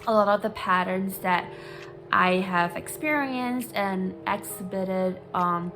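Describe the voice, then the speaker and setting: A woman's voice: medium pitch, takes it slowly, over music, rough recording. A woman from Singapore in her twenties, candidly sharing her personal story in a hesitant, um-filled vlog, reading from talking points on her phone. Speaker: vlogger, psychology grad student.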